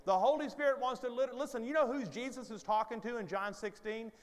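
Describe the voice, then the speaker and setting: Fifty-something pastor preaching in a hall. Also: male voice, extremely high pitch, fairly quick. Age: 50s. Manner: conversational, rising to urgent exhortation; paces the stage gesturing. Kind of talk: sermon. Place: large church stage with hall reverb. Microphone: headset mic. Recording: hall reverb from large sanctuary.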